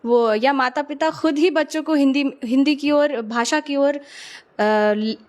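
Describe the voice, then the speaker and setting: A woman with a high-pitched voice hosts the interview. She asks long, searching questions and pauses on fillers as she frames them.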